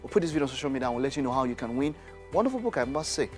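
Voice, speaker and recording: A man hosting a studio interview, lively and quick to chime in with agreement. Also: mid-range voice, faint music behind. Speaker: talk show host.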